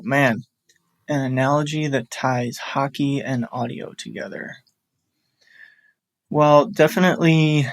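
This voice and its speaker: A man's voice: medium pitch, dry recording. A man in his thirties who works in audio production, answering thoughtfully in casual chat, rambling a little with restarts. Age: 30s.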